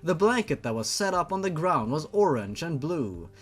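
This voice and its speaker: Animated higher-pitched man reading aloud. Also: moderate pace, breathy edge. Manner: theatrical, sweary, incredulous asides. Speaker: host, reader. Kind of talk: mocking dramatic reading of fan fiction with commentary.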